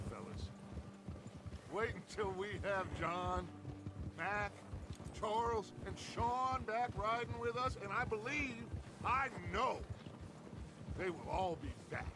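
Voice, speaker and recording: An actor playing a charismatic, commanding outlaw gang leader in a western game, with an American accent and a grandiose, rallying delivery. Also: male voice, high-pitched, background noise, exaggerated voice. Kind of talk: cinematic western game acting. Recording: music under the voice.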